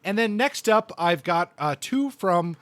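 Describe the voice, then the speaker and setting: A man hosting a casual book review podcast, speaking in a thoughtful, halting way with repeated words. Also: high voice, lively intonation, a bit bright, no room sound, clean studio sound.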